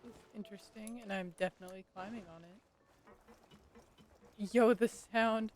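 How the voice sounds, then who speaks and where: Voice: mid-range voice, steady tone, normal speed. A game streamer giving casual live commentary, informal and exclamatory, reacting aloud to the game.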